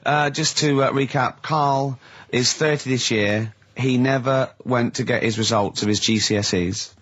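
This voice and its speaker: Male radio host, southern English, husky, mid-pitched, casual and teasing, lo-fi broadcast sound. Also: fairly even intonation, measured pace, fairly bright tone. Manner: teasing, explanatory. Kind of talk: casual comedic radio banter. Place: radio studio. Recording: muffled lo-fi radio recording.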